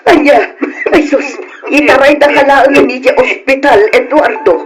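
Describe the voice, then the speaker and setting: A woman's voice: high-pitched, a little slow. A woman playing a fussing, scolding older wife and grandmother in a radio drama, exclaiming as she nags. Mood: alarmed, scolding. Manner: exclamatory, nagging. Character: fussing, scolding elderly wife and grandmother, anxious for her husband.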